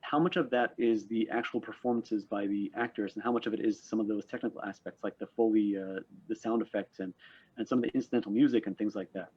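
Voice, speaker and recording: A man's voice: lowish voice, steady tone, fast talker, mellow, breathy edge, lo-fi sound. A man hosting a panel, interviewing conversationally with hesitant, wordy questions. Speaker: panel host.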